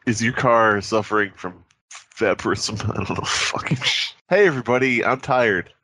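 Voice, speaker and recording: A man's voice: mid-range voice, normal speed, bright, forceful tone, a little hiss or hum. A man co-hosting a podcast in casual improvised comic banter, silly and riffing. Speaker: podcast co-host.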